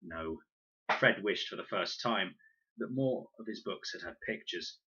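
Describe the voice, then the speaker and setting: A man's voice: low voice, a little slow, sharp tone, husky. A man narrating a children's adventure audiobook expressively, on a dull, lo-fi recording. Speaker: reader, narrator.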